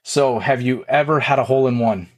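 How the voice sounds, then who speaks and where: A young adult man with a Canadian accent, hosting a casual interview and asking quick-fire questions in a friendly, rambling way. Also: mid-range voice. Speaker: podcast host.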